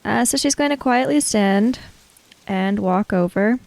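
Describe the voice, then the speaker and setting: A woman's voice: medium pitch, measured pace, gravelly, a little mellow, no echo. A woman player in a tabletop game, casually describing what her character does.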